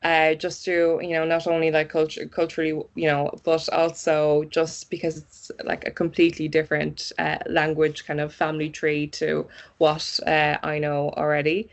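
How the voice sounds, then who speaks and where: A woman who grew up speaking Polish and English, a localization specialist chatting as an interview guest in a relaxed, friendly way. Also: deep voice, normal speed, few pauses. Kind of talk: casual interview chat.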